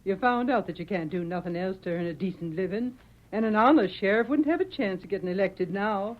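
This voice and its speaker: A woman's voice: medium pitch, animated, brisk, lightly acted. A woman playing a worried, loyal frontier wife and mother in an old radio western, with folksy rural speech. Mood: worried, gentle. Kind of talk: 1940s radio western acting. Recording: muffled old radio recording.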